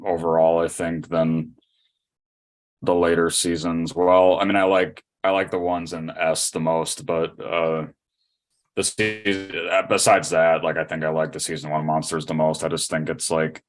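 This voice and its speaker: A man's voice: sits low, little pitch movement, unhurried. A man chatting casually about anime as a podcast guest, in an informal conversational style.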